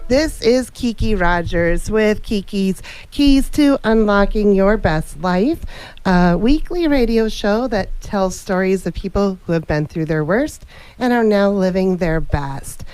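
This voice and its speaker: A woman's voice: medium pitch, darkish tone, clean tone. An upbeat woman hosts a radio talk show with a chatty, welcoming manner. Her mid-range voice sits dry and close in the studio mix, without room echo. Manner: upbeat, chatty, introduces guests and sponsors. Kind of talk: casual radio interview.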